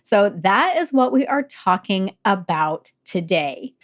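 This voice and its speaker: A woman hosting a nursing podcast, her voice a little croaky and gravelly from a lingering cough, explaining step by step and repeating key points. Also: medium pitch, very animated, slow. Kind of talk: casual teaching talk.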